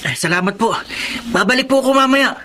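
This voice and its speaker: A man's voice: very high voice, animated, fairly quick, noisy background. An actor voicing Buboy, a cheerful and polite poor boy, in a radio drama. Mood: eager polite. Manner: polite, eager.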